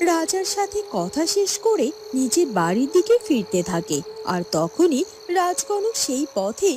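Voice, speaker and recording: High, lilting female storyteller. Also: very animated, unhurried, a little mellow, a little hiss or hum. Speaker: narrator. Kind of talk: scripted storybook narration.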